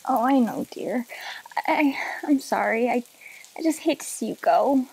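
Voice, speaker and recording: A woman's voice: on the high side, lively intonation, measured pace, vocal fry, darkish tone, breathy edge, full character voice, poor audio. A woman playing a loving, worried mother of a grown son in an old-time radio drama, hesitant and pleading. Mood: worried, tender.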